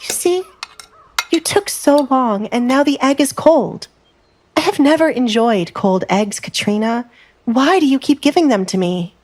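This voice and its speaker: A woman voicing a cool, haughty, sly young noblewoman in an audio drama, imperious and measured. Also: high voice, expressive, a little mellow, a little hiss or hum, voice pushed up. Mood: haughty complaint.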